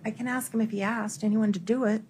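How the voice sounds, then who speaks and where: A woman's voice: on the high side, fairly even intonation, quick pace, darkish tone, slight background noise. A woman lawyer questioning a witness in a courtroom, formal, pressing and insistent. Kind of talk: courtroom cross-examination.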